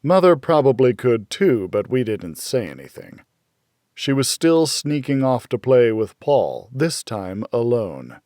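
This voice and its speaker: A man reading a dark first-person thriller as an audiobook, in a measured, dramatic storytelling manner. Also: medium pitch, very animated, dry recording, studio quality. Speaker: audiobook narrator.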